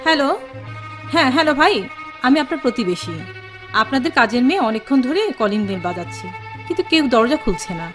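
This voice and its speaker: A woman acting a brisk, helpful character in an audio drama. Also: sits high, big pitch swings, a bit bright. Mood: concerned, polite. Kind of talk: Bengali radio drama acting. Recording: music behind the voice.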